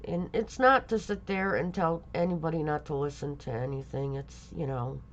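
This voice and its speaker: A middle-aged woman talking casually in a personal vlog, halting and rambling, stuffy from a lingering cold. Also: low-pitched, highs cut off, a little hiss or hum. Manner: halting, rambling, many pauses and fillers. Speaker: vlogger.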